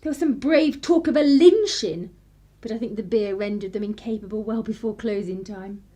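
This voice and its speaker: A woman's voice: mid-range voice, moderate pace, mellow, close to an everyday voice. A young woman with an upper-class English accent playing a spirited, sardonic 1930s vicar's daughter in a radio play, with arch, clipped period phrasing. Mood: breezy, teasing. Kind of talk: period radio play acting, narration and dialogue.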